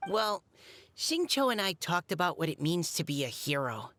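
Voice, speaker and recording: A woman's voice: on the low side, big pitch swings, moderate pace, fairly bright tone, slight background noise. A woman voicing a modest, earnest teenage boy soldier in a video game, sincere, polite and a little hesitant.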